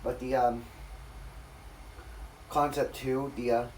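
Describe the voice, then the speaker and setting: A man with a raspy voice rambling through a casual, off-the-cuff demonstration, correcting himself and losing his train of thought. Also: mid-range voice, flat delivery, slow, recorded from a distance, slight background noise, rough recording. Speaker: ceiling fan collector demonstrating a fan. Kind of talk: casual off-the-cuff video narration.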